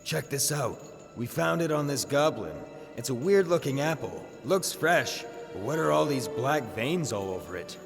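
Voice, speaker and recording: Brash, gravelly human swordsman. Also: medium pitch, expressive, moderate pace. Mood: casual alert. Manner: casual, cocky, colloquial. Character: large, brash male human sword fighter, laid-back and mercenary. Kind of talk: fantasy audio drama acting. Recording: long hall reverb, faint music.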